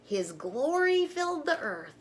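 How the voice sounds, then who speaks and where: A woman's voice: sits high, sing-song, measured pace, a little hiss or hum. A woman storyteller reading a children's story aloud with simple, emphatic phrasing and informal asides to young listeners.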